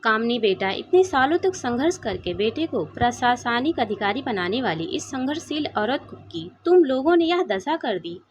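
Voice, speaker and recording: A woman's voice: fairly high pitch, expressive, moderate pace, few pauses, slightly rough. A woman storyteller voicing a stern, sarcastic middle-aged uncle, a doctor, in a moral story. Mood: grieving reproach. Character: indignant, grieving, scolding middle-aged male doctor uncle. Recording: dull, lo-fi sound.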